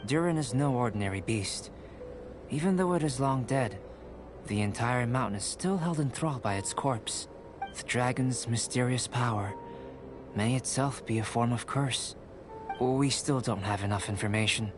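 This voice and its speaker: A composed young alchemist from a video game, as a man voices him, at a middle pitch, formal and measured. He explains his findings patiently and precisely. Game music sits clearly under his lines. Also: lightly acted. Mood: calm. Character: calm young male alchemist scholar, composed.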